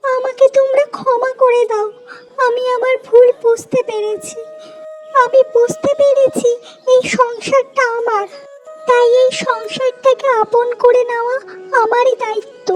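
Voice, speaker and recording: A woman voicing a young, demanding daughter-in-law in an animated family melodrama, with a shrill, insistent manner. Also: measured pace, music in the background. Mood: remorseful.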